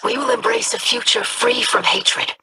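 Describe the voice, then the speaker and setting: A woman's voice: fairly high pitch, moderate pace, heavy background noise, close to an everyday voice. A woman voicing an earnest young alien girl. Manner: polite, earnest.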